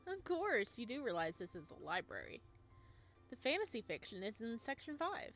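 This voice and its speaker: A woman's voice: a little high, wildly swooping pitch, normal speed, a bit bright, soft background music, full character voice. A woman voicing a teasing, playful young librarian who is an old friend of the hero, read from a visual novel. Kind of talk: reading a visual novel aloud.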